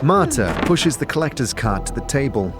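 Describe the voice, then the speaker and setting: A man's voice: mid-range voice, very animated, normal speed, loud music behind the voice. A man narrating a scripted fantasy audio drama as game master.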